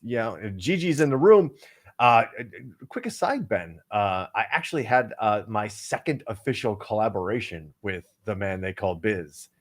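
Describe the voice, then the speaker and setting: A man's voice: on the low side, animated, moderate pace. A man co-hosting a casual podcast chat, rambling with many fillers and restarts. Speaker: co-host.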